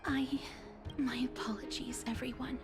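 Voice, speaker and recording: A woman voicing a soft, polite, hesitant young maiden in a video game. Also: high voice, level tone, moderate pace, natural voice. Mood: apologetic. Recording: loud game music behind voice.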